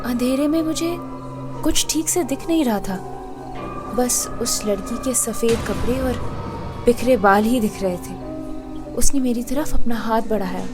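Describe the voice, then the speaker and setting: A woman acting a chatty, joking, easily flustered young woman in an audio drama, informal and expressive. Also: a little high, a little slow, long pauses, over music, close to an everyday voice. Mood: shaken.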